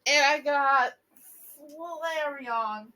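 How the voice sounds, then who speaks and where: A woman reading a bedtime story aloud and chatting casually to viewers, with a playful, giggly, expressive manner. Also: sits high, extremely slow, low-quality recording. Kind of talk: storybook read-aloud with casual asides to fans.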